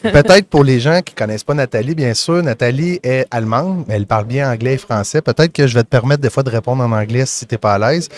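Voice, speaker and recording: A man's voice: mid-range voice, animated, fast, few pauses. A man with a Québécois accent hosting a podcast, talking in a loose, colloquial, chatty way. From Québec. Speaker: podcast host. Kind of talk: casual interview chat.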